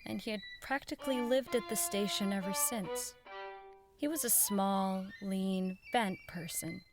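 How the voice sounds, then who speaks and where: A woman's voice: medium pitch, unhurried, over music, close to an everyday voice. An actress playing an idealistic, determined woman who runs a 1940s radio network, speaking in an earnest, dignified, persuasive way in an audio drama. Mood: reflective narration. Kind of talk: audio drama acting, dialogue and wistful first-person narration.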